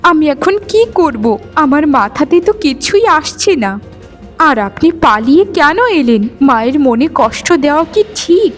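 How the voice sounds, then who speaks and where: Woman doing a sweet lovestruck cartoon forest girl, high earnest voice, soft music under it. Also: expressive, moderate pace. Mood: tender, worried. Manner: tender, earnest. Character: gentle, lovestruck beautiful young forest maiden. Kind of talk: cartoon fairy-tale acting.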